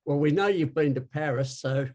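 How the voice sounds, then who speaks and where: A man's voice: a little high, normal speed, a little mellow. A man co-hosting a casual interview, reading questions sent in by listeners. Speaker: co-host, interviewer.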